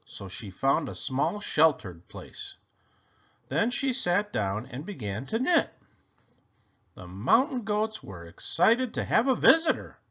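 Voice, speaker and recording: A man reading a children's picture book aloud expressively, with theatrical, deliberate phrasing, on slightly dull, lo-fi audio. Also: a little high, sharp tone, slightly rough.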